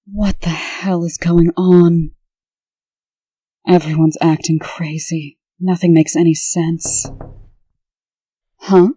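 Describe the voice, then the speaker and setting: Woman as a low, mellow, exasperated heroine. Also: level tone, takes it slowly, pauses often, breathy edge, no room sound. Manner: exasperated, demanding. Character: stern, bewildered young fairy-tale heroine. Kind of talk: comic-book dub acting.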